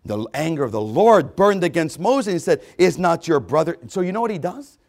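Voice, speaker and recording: A man with a notably high voice delivers a sermon, reading scripture and then driving its meaning home. He leans hard on repeated phrases. He jumps from ringing, near-shouted exclamations down to soft, intimate asides. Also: very animated, normal speed, vocal fry. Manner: emphatic, repeats phrases for effect; swings between loud exclamations and hushed asides. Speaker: preacher.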